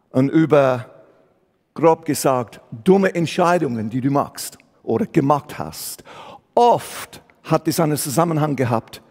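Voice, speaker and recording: A man's voice: mid-range voice, darkish tone. A man from England with an English accent preaching a sermon in German, searching for words and mixing in English, in a church hall. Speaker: guest preacher.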